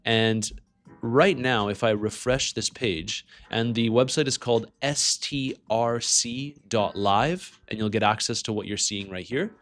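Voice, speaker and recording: Low-voiced man. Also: unhurried, fairly bright tone, faint music behind. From Canada. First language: French. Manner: conversational, greets and answers chat. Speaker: livestream host. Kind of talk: casual livestream market commentary.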